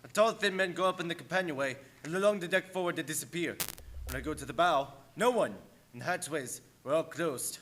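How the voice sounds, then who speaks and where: An actor playing a superstitious ship's mate going mad with fear, agitated and melodramatic, in a radio play. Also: male voice, fairly high pitch, a little fast, a bit bright. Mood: uneasy. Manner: agitated, broken-English sailor talk.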